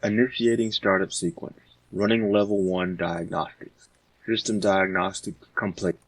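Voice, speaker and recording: A very low, mechanical robot acted out by a man delivers flat, measured system announcements with no emotion. The voice is roughened and blurred by a heavy sci-fi effect of distortion and modulation, as in an old space film. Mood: emotionless. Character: mechanical computerized robot announcing a startup sequence. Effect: robot filter with static, distortion, phaser and wah-wah.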